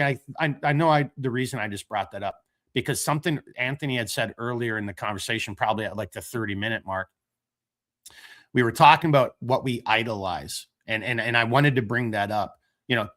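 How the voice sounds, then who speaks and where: A man hosting a casual late-night talk show, rambling and animated, stopping to tell dad jokes. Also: mid-range voice. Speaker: podcast host.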